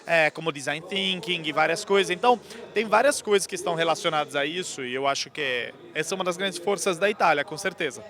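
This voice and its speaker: A man's voice: fairly high pitch, fast, a bit bright. A man in his thirties from Italy with an Italian accent, answering interview questions fluently with frequent hesitation fillers. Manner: fluent, explanatory, many "é" fillers. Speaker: guest speaker. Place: outdoors at a convention.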